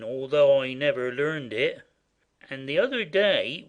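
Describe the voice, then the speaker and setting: An actor playing a simple, boastful, smitten manservant in a classic comedy audio play, with a naive, exclaiming manner. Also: male voice, a little high, fairly bright tone, full character voice. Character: simple, boastful male servant, cheerfully self-satisfied and smitten.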